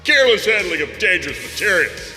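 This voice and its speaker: An actor playing a rigid, literal-minded security chief in an audio drama, stiff and officious. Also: male voice, high voice, big pitch swings, fast talker, sharp tone, heavily acted. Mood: indignant. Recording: long reverb, faint music.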